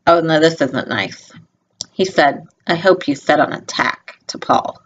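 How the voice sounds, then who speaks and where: A woman reading a picture book aloud to young children, expressive, with informal, gently instructive asides spoken directly to them. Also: sits low, a little slow. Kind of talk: read-aloud storytelling with chatty asides. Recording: dull lo-fi home video sound.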